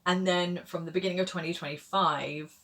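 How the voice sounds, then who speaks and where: A woman in her thirties talks casually to her camera about her reading. Her voice sits low for a woman, and she rambles along with plenty of "like" and "um" and small self-deprecating laughs. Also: steady tone, a little fast, fairly bright tone. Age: 30s. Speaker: book vlogger.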